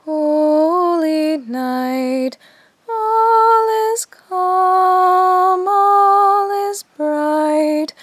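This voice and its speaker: A woman slowly singing a Christmas carol as a lullaby in an audio drama. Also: extremely high pitch, fairly even intonation, dry recording, faint music behind, full character voice. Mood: gentle.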